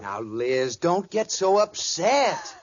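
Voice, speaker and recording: An actor playing a placating, earnest husband in a 1940s radio sitcom, on an old recording with an audience. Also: male voice, fairly high pitch, sing-song, slow pace, muffled recording, heavily acted. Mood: soothing concern. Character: placating husband who plays tricks on his wife.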